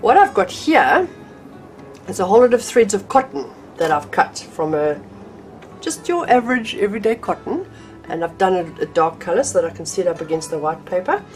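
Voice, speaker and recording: A woman's voice: mid-range voice, big pitch swings, moderate pace, soft background music. A middle-aged woman teaching drawing from her home art room, chatty and a little nasal from a cold, with frequent ums and restarts. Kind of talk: casual art tutorial.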